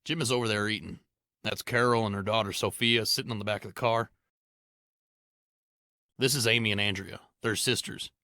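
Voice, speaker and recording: A man playing a steady survivor and loyal friend in a dubbed comic drama. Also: fairly low pitch, normal speed, pauses often, no room sound, clean studio sound. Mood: friendly, matter-of-fact. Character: steady male survivor, loyal friend showing newcomer around.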